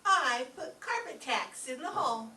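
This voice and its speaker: Naive excitable gal played by a woman, sharp animated delivery, vintage sitcom, distant echoey recording. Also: high voice, measured pace, a little hiss or hum, exaggerated voice. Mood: naive, eager. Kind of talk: stage re-creation of radio sitcom. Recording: echoey stage recording from far off.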